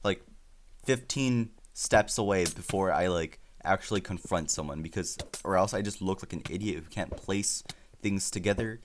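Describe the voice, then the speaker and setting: A man's voice: deep voice, moderate pace, a little hiss or hum. A teenage boy whose first language is Spanish, telling a rambling, self-deprecating story while playing a game, often stammering and restarting. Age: teens. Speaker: YouTuber.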